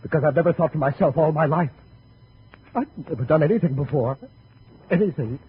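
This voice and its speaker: A man's voice: on the high side, a little fast, natural voice. A man playing a weak, snobbish, self-pitying man of good family in an overwrought radio melodrama. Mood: distraught, defiant. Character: distraught, snobbish, weak-willed rich man confessing. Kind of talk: 1950s radio melodrama acting. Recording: tinny, noisy 1950 radio broadcast.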